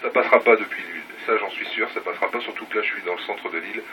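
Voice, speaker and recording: Guy coming through a radio speaker, sharp voice, distant and noisy, casual chat. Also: fairly low pitch, rapid-fire. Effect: heard over two-way radio. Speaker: amateur radio operator.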